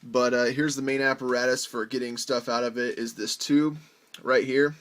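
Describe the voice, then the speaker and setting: An American man presents a do-it-yourself music gear demonstration in an average-pitched voice. He talks informally and without a script. His delivery is halting, as if working out each explanation aloud while he handles things. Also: little pitch movement, fairly bright tone. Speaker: host of a DIY music gear video. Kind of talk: casual hands-on demonstration, unscripted.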